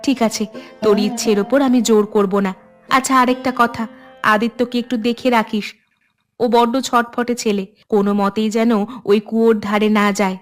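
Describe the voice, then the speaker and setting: A woman playing a caring elder sister and mother in an animated family drama, coaxing and concerned. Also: on the high side, normal speed, faint music behind, lightly acted. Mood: gentle, concerned.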